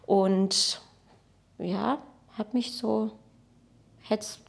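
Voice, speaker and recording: A woman's voice: medium pitch, fairly even intonation, very slow, gravelly, husky. A woman in her thirties from Portugal, a native Portuguese speaker, chatting in a casual interview as a guest, talkative and self-mocking. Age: 30s. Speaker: podcast guest.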